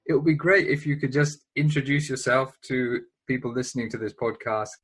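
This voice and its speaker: A man hosting a podcast, reading a scripted introduction and asking interview questions in a measured way. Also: mid-range voice, fairly bright tone, slightly rough. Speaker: podcast host.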